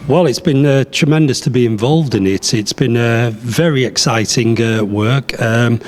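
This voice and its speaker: A man with a mid-range voice gives unscripted answers as an interview guest. His phrasing is hesitant, broken by pauses as he puts his thoughts together. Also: mid-range voice.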